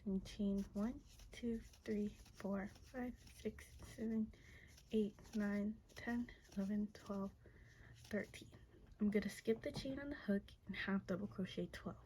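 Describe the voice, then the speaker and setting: A soft-spoken woman walks through a crochet project at her worktable, her breathy voice half-whispered because it is going. Her tone is dark and mellow, dotted with fillers and gentle "okay?" check-ins. Faint background noise sits behind her. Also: mid-range voice, fairly even intonation, a little slow, low-quality recording. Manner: soft-spoken, counts stitches aloud, many fillers like "like" and "okay?". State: losing her voice, partly whispering. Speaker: crochet tutorial maker. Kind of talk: casual step-by-step craft tutorial.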